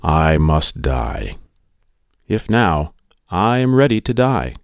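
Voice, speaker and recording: A man reading philosophy as a scripted dramatic monologue, in a measured, deliberate manner. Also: rumbling low, sing-song, creaky. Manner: measured, deliberate delivery in a radio monologue style. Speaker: audiobook reader. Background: music.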